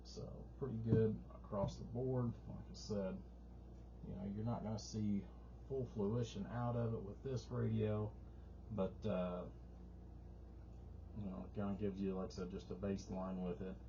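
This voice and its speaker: A man's voice: fairly low pitch, little pitch movement, normal speed, mellow. A man casually talking through an amplifier demonstration in a folksy, rambling, informal way, as a repair technician. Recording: muffled sound with clear background noise.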